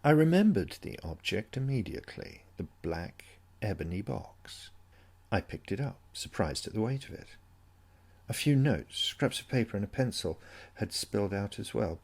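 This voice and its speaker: A man reads a haunting first-person story as a dramatic audiobook. His voice is fairly low, with a gravelly creak and a husky grain. He takes his time, keeping the delivery brooding and full of suspense. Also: sing-song, normal speed, darkish tone. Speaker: story narrator.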